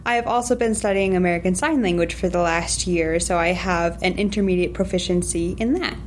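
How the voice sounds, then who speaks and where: A woman's voice: on the low side, a little fast, slight background noise. A woman library associate speaking in an articulate, formal way in a panel discussion.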